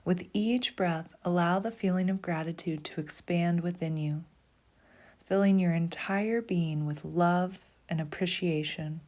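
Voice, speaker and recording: A woman leading a guided meditation in a soft, slow, soothing voice, often dropping to a breathy half-whisper. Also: on the low side. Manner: slow, soothing, even pacing.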